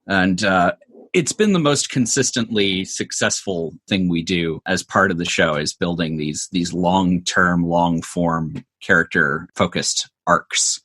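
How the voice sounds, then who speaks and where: A man speaking as an interview guest, explaining creative work in articulate, measured phrases with considered pauses. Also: deep voice, fairly bright tone, husky. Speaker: interview guest, comedy show writer.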